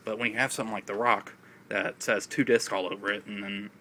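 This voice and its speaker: A man talks casually about films with a raspy voice and an occasional cough, rambling with many fillers. His voice sits low and fairly flat in pitch, with a bright, forward edge. A faint noise runs behind him on a phone recording. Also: brisk. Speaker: film vlogger. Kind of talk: casual video chat.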